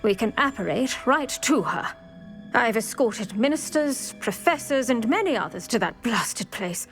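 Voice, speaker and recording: An actor voicing an older retired Auror woman who speaks briskly and formally in scripted game dialogue. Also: fairly high pitch, lively intonation, fairly bright tone, soft background music. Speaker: retired Auror guiding a student.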